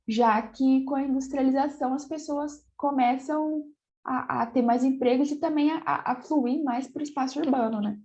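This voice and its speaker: A woman teaching a geography class online, slightly hoarse from a cold, hesitating and repeating words as she explains. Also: high voice, dark tone, lo-fi sound.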